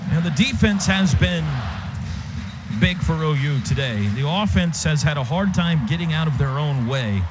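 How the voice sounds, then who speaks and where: Muffled sportscaster, higher-pitched man, loud background noise. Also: expressive, moderate pace. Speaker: radio play-by-play announcer. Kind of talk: live football commentary. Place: outdoor stadium radio booth. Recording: muffled radio feed, loud stadium noise.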